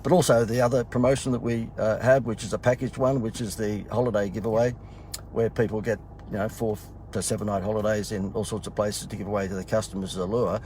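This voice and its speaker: A lowish-voiced man rambles through a casual promo chat in a car, with plenty of fillers and restarts. His voice is a little husky from talking too much, and faint noise hums behind him. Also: flat delivery, fast, a little mellow. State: slightly husky from talking a lot. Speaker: marketing consultant.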